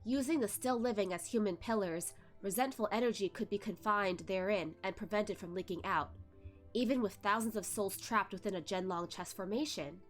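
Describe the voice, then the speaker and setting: A woman hosting a livestream, narrating a web novel in a steady storytelling way. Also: medium pitch, normal speed, faint music behind. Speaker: channel host reading a novel. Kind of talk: read-along narration.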